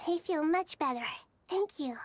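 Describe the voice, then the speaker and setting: A woman voicing a serene, wise child goddess in a video game, soft and breathy, with a kindly poetic manner. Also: sits high, expressive, normal speed. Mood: grateful.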